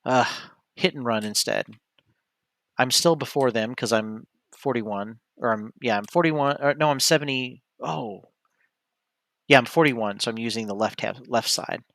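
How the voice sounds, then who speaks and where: A man gives casual play-by-play of his own solo tabletop game in a medium-pitched voice. He thinks aloud and often stops to correct himself. The sound is dry and close, with little room in it. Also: unhurried, a bit bright, no echo. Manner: hesitant, self-correcting, talks through each move. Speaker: streamer playing a board game solo.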